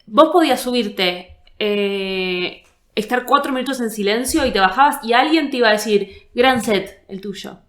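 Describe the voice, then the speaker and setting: A woman's voice: a little high, normal speed, a bit bright, echoey room. A young Argentine woman hosting a podcast, speaking in a dry, blunt, casual way. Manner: blunt, dry, uses "vos". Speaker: podcast host. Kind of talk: casual conversation.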